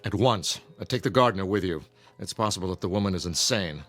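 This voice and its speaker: Low-voiced, commanding father. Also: expressive, a bit bright, faint music behind. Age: middle-aged. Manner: brisk, authoritative. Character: commanding, protective, well-off middle-aged father. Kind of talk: radio drama acting.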